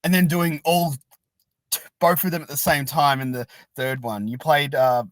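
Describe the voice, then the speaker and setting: A man's voice: fairly high pitch, fairly even intonation, moderate pace, no room sound. An Australian man chatting casually and enthusiastically as a podcast host. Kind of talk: casual interview chat.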